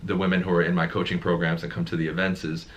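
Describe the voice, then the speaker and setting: Deep-voiced man coaching in sales. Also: little pitch movement, fast talker, slight background noise. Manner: emphatic, repeats words when making a point. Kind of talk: casual conversation.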